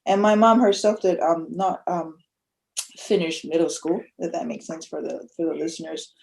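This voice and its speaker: A young woman from Tonga speaks as a podcast guest in a soft, heartfelt way, often breaking into laughter. Her voice sounds mellow and warm. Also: fairly low pitch, steady tone, normal speed, creaky, slight background noise, poor audio. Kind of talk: casual interview.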